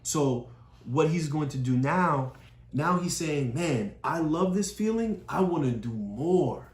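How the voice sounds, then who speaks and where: A woman's voice: deep voice, expressive, slow pace, a little mellow, slight background noise. A woman talking through a picture book with a child in a patient, explaining way, often repeating key phrases. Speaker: parent reading with a child. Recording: echoey room.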